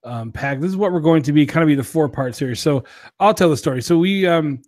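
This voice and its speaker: A man's voice: a little high, expressive, quick pace, darkish tone, dry recording, studio quality. A young man co-hosting a podcast, chatting casually and jokingly as he reviews drinks, with a self-deprecating streak. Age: young adult. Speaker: podcast co-host. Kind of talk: casual beer review chat.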